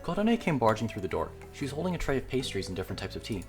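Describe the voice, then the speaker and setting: A man's voice: mid-range voice, big pitch swings, very fast, faint music behind. A man reading a visual novel aloud as a gamer, narrating the script. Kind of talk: read-aloud narration of a game script with light character voicing.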